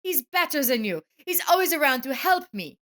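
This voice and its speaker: A sharp-tongued, unfaithful wife, acted by a woman narrator, pitched very high and full of swings. She is emphatic and confrontational, a defiant figure from an audio melodrama. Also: very animated, moderate pace. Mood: defiant, angry.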